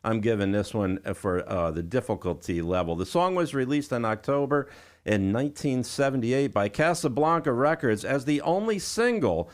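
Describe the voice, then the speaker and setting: A man's voice: mid-range voice, lively intonation, moderate pace. A man hosting a radio music show, with an emphatic, jokey announcer delivery and an upbeat style. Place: radio studio.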